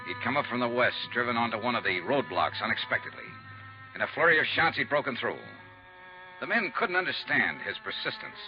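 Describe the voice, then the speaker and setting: A man playing a steady, world-weary American investigator, narrating in a terse, measured, hard-boiled 1950s radio drama style. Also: medium pitch, music in the background, lightly acted. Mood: grim, urgent. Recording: muffled, noisy 1950s radio recording.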